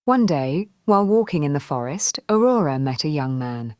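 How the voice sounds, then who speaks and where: Low-voiced woman telling a fairy tale. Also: sing-song, moderate pace, darkish tone, dry recording, clean studio sound. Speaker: storyteller. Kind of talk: scripted fairy tale narration for children.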